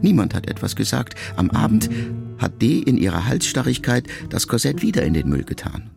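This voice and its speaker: A man reading a literary memoir aloud as an audiobook, in a calm, measured first-person voice. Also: medium pitch, vocal fry, music in the background. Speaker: audiobook narrator.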